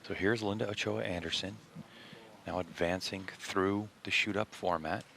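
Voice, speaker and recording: A man's voice: sits low, steady tone, normal speed, creaky, pushed and bright, a little hiss or hum. A man doing play-by-play commentary at a live event, telling stories and prompting his co-host. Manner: storytelling, sets up co-host.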